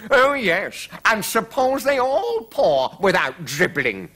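A flustered storybook king, as a male narrator puts him on, forever exclaiming and complaining. The delivery is forceful and swings widely in pitch, full of dithering exasperation. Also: sing-song, slow, exaggerated voice. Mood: worried. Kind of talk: children's storybook character reading.

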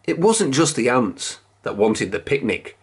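A reader telling a children's picture book aloud in a measured, expressive storytelling manner. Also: mid-range voice, husky.

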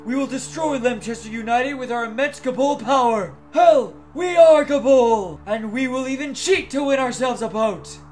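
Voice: mid-range voice, natural voice. A performer playing a brash, foul-mouthed, stubborn hero in a cartoon parody, rallying a team with defiant speeches. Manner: defiant, speechifying, drawn-out shouts. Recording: music behind the voice.

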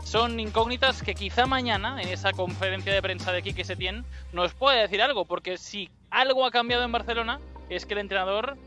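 A man's voice: high-pitched, fairly quick, pushed and bright, soft background music. A man in his thirties giving a live sports report outdoors into a handheld microphone. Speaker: field reporter. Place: outdoors in a city square at night.

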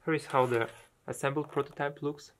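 A man's voice: a little high, fairly even intonation, brisk, background noise. A man in the role of a researcher, giving a matter-of-fact technical explanation of a prototype.

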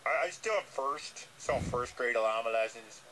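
A man's voice: mid-range voice, animated, moderate pace, a bit bright, pitched below the performer's own voice, close to an everyday voice. Guy doing a nervous dopey llama on the radio, keeps repeating himself, noisy playback. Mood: earnest, nervous. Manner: hesitant, rambling, repeats himself. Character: nervous, earnest, dim-witted male llama. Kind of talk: improvised comic radio phone call.

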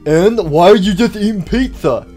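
A man voicing a dim, nosy, childlike animatronic bear in a comedy cartoon, questioning everything in a slow-witted way. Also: high-pitched, expressive, mellow, faint music behind. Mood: nosy, puzzled. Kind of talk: amateur comedy cartoon dialogue.

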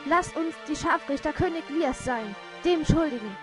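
A woman's voice: sits high, lively intonation, normal speed. A woman playing a cocky, hot-headed young male thief in an amateur audio drama. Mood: heroic, defiant. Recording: loud music under the voice.

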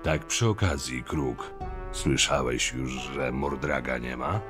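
Man acting Torus, a very deep, stern guard chief. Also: slow pace, over music. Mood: businesslike. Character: Torus, stern, loyal, level-headed male chief of camp guards. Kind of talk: acted dialogue, fan audio drama.

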